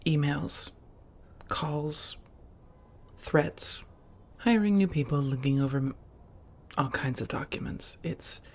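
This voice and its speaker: Woman playing female vampire roommate, soft-spoken ASMR, breathy near-whisper, unhurried, refined, affectionate, teasing. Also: deep voice, exaggerated voice. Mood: dry, relaxed. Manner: unhurried, formal phrasing with coy pet names.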